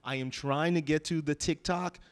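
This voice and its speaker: A middle-aged man from Haiti, a bakery owner, chatting as a guest in an interview, animated and talking into a handheld microphone. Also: fairly high pitch, normal speed. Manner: animated, talks with his hands, addresses listeners as y'all. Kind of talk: casual interview chat. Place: lounge studio with sofa.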